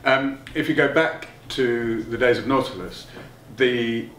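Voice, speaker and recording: A middle-aged man giving a technical explanation as an interviewed designer, halting and thinking aloud as he goes. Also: medium pitch, a bit bright. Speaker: loudspeaker designer being interviewed. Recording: roomy, distant mic, noticeable background noise.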